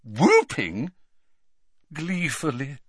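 A man voicing a flustered, tipsy woman professor in an audiobook, shrill and grandiose, with halting, drawn-out phrases. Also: huge pitch swings, breathy edge, no room sound, studio quality, heavily acted. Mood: indignant, dramatic.

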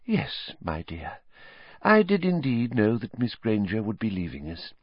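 A man voicing an airy, dreamy older woman who teaches fortune-telling, speaking in a hushed, mystical, drawn-out way. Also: lowish voice, huge pitch swings, breathy edge, dry recording, full character voice. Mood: lofty. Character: airy, dreamy female seer, an old teacher. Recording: dry, slightly dull lo-fi.

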